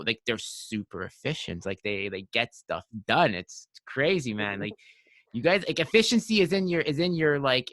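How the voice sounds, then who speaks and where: A man's voice: medium pitch, a bit bright, dry recording. A man of about thirty hosting a podcast, chatting casually and rambling with many restarts and likes. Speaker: podcast host. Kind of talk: casual interview chat.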